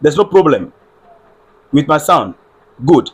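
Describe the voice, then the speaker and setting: A man's voice: fairly high pitch, sing-song, slow, slightly rough. A man with a Ghanaian accent giving earnest political commentary on a live stream, repeating phrases and checking with viewers that his audio works. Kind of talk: solo livestream political commentary.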